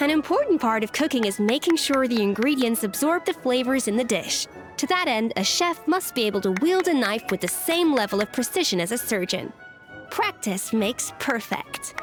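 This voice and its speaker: Casting: actress as instructive female chef, game, earnest, higher register, light music behind. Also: lively intonation, moderate pace, natural voice. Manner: didactic. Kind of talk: video game voice acting.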